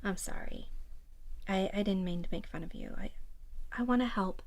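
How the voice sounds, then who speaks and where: A woman's voice: mid-range voice, normal speed, darkish tone, lightly acted. A young woman acting in an audio drama as a concerned college friend with a blunt, casual manner. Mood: apologetic.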